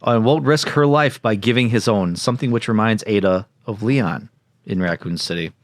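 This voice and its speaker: A man's voice: lowish voice, moderate pace, no echo. Man with a very dry, close sound. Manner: jokey, interjects and riffs. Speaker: podcast co-host. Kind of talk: reading a plot summary with joking asides.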